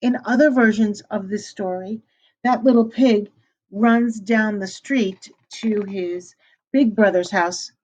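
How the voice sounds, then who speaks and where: A woman's voice: medium pitch, steady tone, takes it slowly. A middle-aged woman teacher reading a storybook aloud to children and stopping to explain words. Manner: warm, explaining word meanings to children. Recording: slightly dull lo-fi recording.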